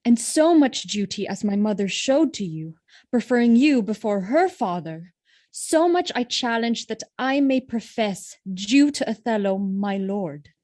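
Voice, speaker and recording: Earnest young noblewoman played by a woman, lightly high. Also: lively intonation, measured pace, a little mellow. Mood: respectful, resolute. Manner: earnest, formal. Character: Desdemona, dutiful, resolute young noblewoman in love. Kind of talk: Shakespearean audio drama acting.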